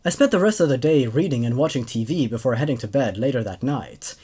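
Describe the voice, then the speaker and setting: A man in his twenties with an expressive, emphatic way of talking, hosting horror stories. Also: medium pitch, quick pace, husky. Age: 20s. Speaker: host reading horror stories. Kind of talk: dramatic story narration, then casual commentary.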